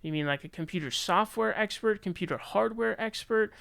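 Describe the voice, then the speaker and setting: A man's voice: high-pitched, brisk, vocal fry, a bit bright, no echo. An American man giving casual, opinionated news commentary in colloquial language, sometimes reading aloud.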